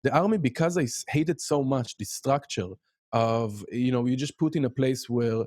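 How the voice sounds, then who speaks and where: A middle-aged man from Israel with an Israeli accent, talking as a podcast guest in a halting way, with many fillers and restarts. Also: medium pitch, darkish tone, dry recording, clean studio sound. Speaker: podcast guest, music educator. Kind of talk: casual interview chat.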